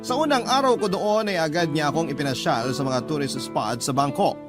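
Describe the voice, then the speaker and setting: A man's voice: fairly high pitch, lively intonation, a little fast, natural voice. An actor playing an earnest young Filipino man narrating his own story in a scripted radio drama style, with a Filipino accent. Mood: earnest, reflective. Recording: music bed under narration.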